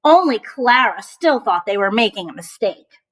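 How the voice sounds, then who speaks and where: High-voiced woman reading a storybook aloud. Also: lively intonation, normal speed. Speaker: teacher reading aloud to children. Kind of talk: storybook read-aloud with asides to children.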